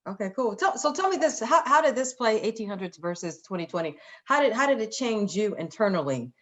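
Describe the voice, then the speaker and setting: A woman's voice: fairly high pitch, big pitch swings, brisk. A woman hosting a conversational interview in an informal, encouraging way. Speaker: radio show host interviewing guests.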